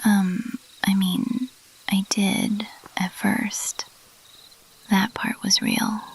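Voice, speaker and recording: Woman playing a guarded weary monster girl, whispered ASMR roleplay, flat hushed tone, slow, halting. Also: mid-range voice, creaky, husky, exaggerated voice, low-quality recording. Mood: hesitant confession. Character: weary young bat-winged monster girl, guarded, confessing.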